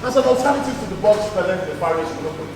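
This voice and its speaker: An actor playing a concerned young student comrade in a stage play. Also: male voice, sits high, expressive, racing. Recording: echoey hall, distant mic, audience noise.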